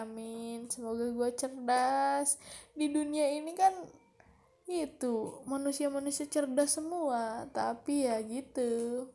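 A woman's voice: high voice, darkish tone. A young woman from Bogor with a Jakarta slang accent, hosting a casual solo podcast and rambling to herself in a chatty way. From Bogor, Indonesia. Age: young adult. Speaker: podcast host.

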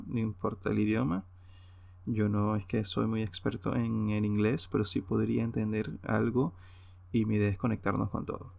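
A man's voice: on the low side, gravelly, darkish tone, no echo. A man with a Venezuelan accent talking off the cuff on a solo podcast, hesitant, informal and rambling. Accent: Venezuelan Spanish. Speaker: podcast host. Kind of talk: unscripted solo podcast monologue.

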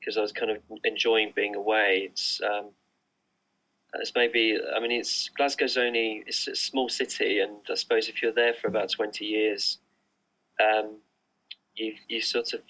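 A man's voice: fairly low pitch, flat delivery, measured pace, pushed and bright, low-quality recording. A middle-aged man, an artist, answering interview questions online in a casual, hesitant way with many fillers and restarts. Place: remote online recording.

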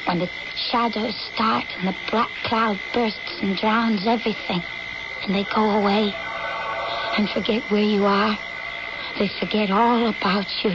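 An actress playing a frightened, lonely, sickly daughter in an old-time radio drama, plaintive and wistful. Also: female voice, medium pitch, steady tone, measured pace, close to an everyday voice. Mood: haunted, desolate. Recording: noisy, dull old radio drama recording.

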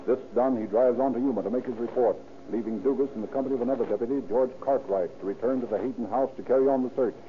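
A man's voice: medium pitch, very fast, few pauses, slight background noise. A man narrating a scripted 1930s radio crime drama in a formal, dramatic manner. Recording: tinny old radio recording. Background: music.

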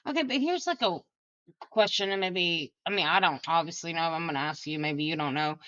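A woman with a slightly low voice co-hosts a podcast in casual chat. She is inquisitive and informal, speaking in broken bursts. The recording is dry and a little dull. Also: a little fast, fairly bright tone, no room sound. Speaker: true crime podcast co-host.

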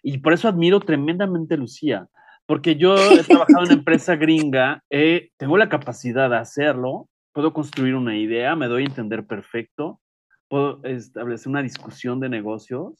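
A man's voice: mid-range voice, moderate pace, a crowd in the background. A man co-hosting a radio show, teasing and joking in a theatrical way. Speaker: radio co-host. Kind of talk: playful radio chat.

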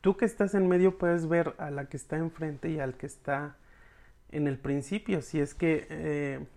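A speaker with a Mexican accent giving an informal, expressive recap to an audience of friends, slangy and casually acting out bits of dialogue. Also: mid-range voice, unhurried. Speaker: podcast reviewer recapping episodes for followers.

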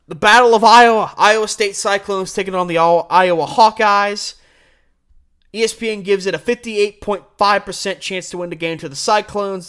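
A man's voice: sits high, a bit bright. A man with an American accent hosting a sports show, giving opinionated football picks and stressing key words emphatically. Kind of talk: college football picks commentary.

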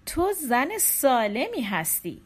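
A woman voicing a calm husband who breaks bad news gently, in a soft, measured way. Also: sits high, husky, heavily acted.

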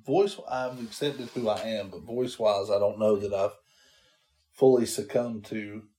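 A man with a nasal voice chats on a podcast at an unhurried, easy pace. He jokes at his own expense in a laid-back way. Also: on the low side, dark tone. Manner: laid-back, self-mocking joking. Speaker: podcast co-host. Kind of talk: casual chat.

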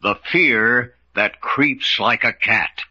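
A male announcer gives dramatic old-time radio narration. His voice is raspy and sharply projected. The recording is muffled, with soft organ behind. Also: medium pitch, takes it slowly. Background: organ music.

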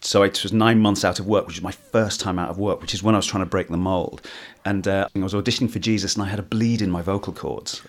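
Low-voiced guy chatting, kinda husky, keeps restarting sentences. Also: fairly even intonation, quick pace, hardly any pauses. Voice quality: hoarse, husky when tired. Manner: rambling, restarts often, self-deprecating. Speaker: musical theatre actor, interview guest. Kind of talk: casual interview chat.

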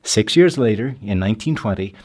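A man giving scripted documentary narration in a measured, articulate way. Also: on the low side, lively intonation, a little mellow. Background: music.

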